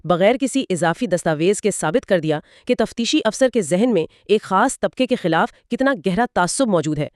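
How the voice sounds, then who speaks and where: A woman's voice: medium pitch, expressive, normal speed, few pauses, no echo, clean studio sound. A woman co-hosting a conversational legal explainer, asking questions, reacting and summing up points. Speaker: co-host leading with questions.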